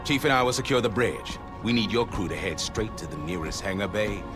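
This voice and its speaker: A man's voice: fairly low pitch, animated, brisk, music in the background, natural voice. A man playing a cocky, loyal veteran sergeant major in a game, with brash, wry military banter. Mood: confident. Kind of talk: cinematic game dialogue.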